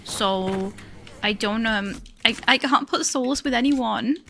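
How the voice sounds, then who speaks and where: Shy high-voiced woman streamer. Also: measured pace, noisy background. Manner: shy, hesitant, rambling with fillers and little laughs. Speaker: live streamer. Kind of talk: casual chat while playing a game.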